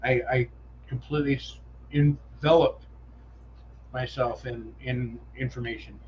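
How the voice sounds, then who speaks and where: A man's voice: mid-range voice, fairly even intonation, a little slow, darkish tone, muffled recording, a little hiss or hum. Man with a nasal voice, casual chat. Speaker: co-host.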